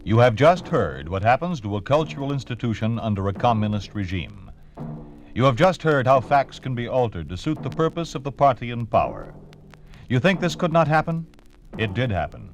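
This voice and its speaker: Male vintage radio announcer, mid-pitched, lively swooping intonation, emphatic, faint music behind. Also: moderate pace. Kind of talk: dramatic scripted 1950s radio narration.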